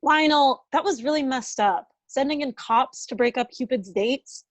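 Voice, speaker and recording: A woman's voice: high-pitched, normal speed, no room sound. A woman in a sitcom table read playing a perky, sincere, chatty and eager matchmaker. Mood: indignant. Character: Maggie, perky, sincere female rival matchmaker.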